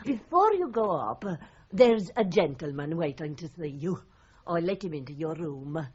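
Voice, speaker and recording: A woman's voice: deep voice, sing-song, normal speed, breathy edge, heavily acted. An actress playing a friendly, inquisitive landlady in a radio crime drama, chatty with small hesitations. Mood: chatty, amused. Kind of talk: 1980s British radio crime drama acting. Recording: muffled radio drama recording.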